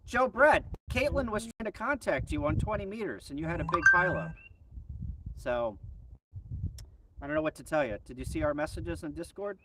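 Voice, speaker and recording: A man's voice: fairly high pitch, lively intonation, normal speed. An American man hosting a casual live stream as a ham radio hobbyist, chatting in an off-the-cuff way. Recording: distant mic, faint background noise.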